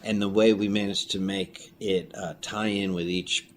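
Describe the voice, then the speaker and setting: Man, low pitch, casual interview talk, rambling storyteller, audible background noise. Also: level tone, normal speed. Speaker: audio drama creator being interviewed. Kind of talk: casual conversation, anecdotes. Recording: noticeable room background noise.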